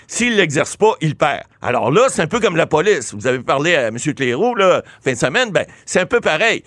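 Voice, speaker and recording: A man from Ottawa, a lawyer guest on a radio talk show, explaining points with emphatic, lively delivery. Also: fairly high pitch, dry recording. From Ottawa, Canada. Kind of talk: radio interview.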